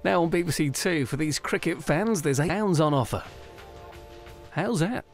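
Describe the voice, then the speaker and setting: A British man announces the next programmes in scripted links. His voice sits fairly high over faint music. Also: lively intonation, normal speed. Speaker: continuity announcer.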